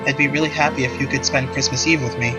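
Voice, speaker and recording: A man's voice: medium pitch, fairly even intonation, over music, lightly acted, rough recording. A man playing a hesitant, earnest teenage boy. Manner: halting, tentative, sincere. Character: hesitant, earnest sixteen-year-old boy. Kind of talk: visual novel character acting.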